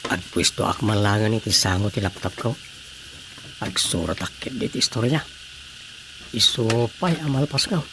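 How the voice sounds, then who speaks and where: A man's voice: fairly low pitch, moderate pace, long pauses, background noise, lightly acted. A man with an Ilocano accent playing a grumbling village official who mutters and muses to himself in a radio comedy drama. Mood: pondering, grumbling.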